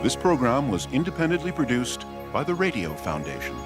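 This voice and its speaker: A man reading a scripted radio announcement in a deliberate way, with music and crowd sound behind him. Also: mid-range voice, very animated. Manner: deliberate, repeating details. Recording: loud music and audience behind.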